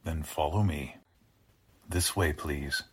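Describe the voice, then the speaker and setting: An actor playing a courtly but menacing mad scientist in a 1940s-style radio drama, with formal, pompous, halting delivery. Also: male voice, a bass voice, a bit bright, breathy edge, full character voice. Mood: soothing, controlling.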